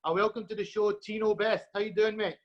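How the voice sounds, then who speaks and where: A man from Scotland hosting an interview over a call, friendly and unhurried, with many fillers. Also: high-pitched, steady tone, highs cut off. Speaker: host.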